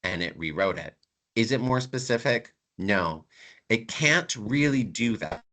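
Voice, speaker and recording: A man's voice: fairly low pitch, lively intonation, unhurried, sharp tone, slight background noise. A man who grew up in Canada, giving a conversational online university lecture and repeating words for emphasis.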